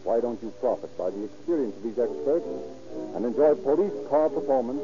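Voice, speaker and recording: An American man announcing and pitching a sponsor in a formal, emphatic 1930s radio style. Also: lively intonation, fairly quick. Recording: dull vintage radio recording with music.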